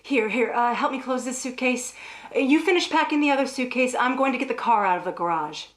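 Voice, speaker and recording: A desperate, frightened wife played by an actress in an old radio melodrama. Her voice sits fairly high, and she speaks with breathless urgency and nervous hesitation. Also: lively intonation, a little fast. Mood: urgent, hurried.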